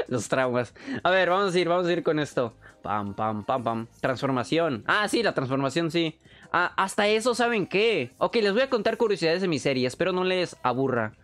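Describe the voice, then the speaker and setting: A young man from Peru with a Mexican Spanish accent, speaking in a slightly high voice. He chats on a casual live stream, excitable and joking, heavy on slang and swearing. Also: normal speed, soft background music. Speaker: streamer and animator.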